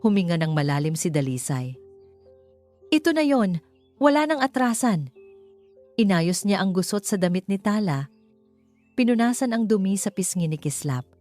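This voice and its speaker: A woman with a Filipino accent narrates a scripted romance story in a dramatic, measured way. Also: fairly low pitch, a little mellow, dry recording. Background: background music.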